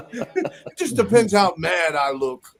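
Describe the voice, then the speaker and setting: A man in his thirties chatting on a sports podcast. He laughs often and trips over repeated words. His voice sits a bit high for a man. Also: vocal fry, a bit bright. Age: 30s. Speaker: podcast guest. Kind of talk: casual chat.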